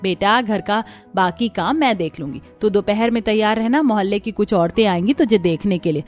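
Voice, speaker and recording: A woman voicing a caring middle-aged mother-in-law who is gentle with her daughter-in-law and firm with her son. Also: medium pitch, moderate pace, faint music behind, lightly acted. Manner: kind but stern.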